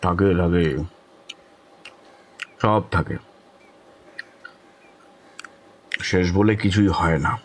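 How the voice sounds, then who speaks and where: An actor playing a cheerful middle-aged club raconteur who tells horror stories in a teasing, deliberate, cryptic way. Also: male voice, deep voice, a little hiss or hum. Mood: cryptic, unhurried. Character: cheerful older club raconteur turning mysterious storyteller. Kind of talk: dramatised horror audio story.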